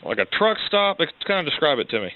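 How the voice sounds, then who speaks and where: A man working as a police detective, informally questioning someone on a call in a halting, probing way. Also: a little high, very animated, no room sound, narrow, tinny audio.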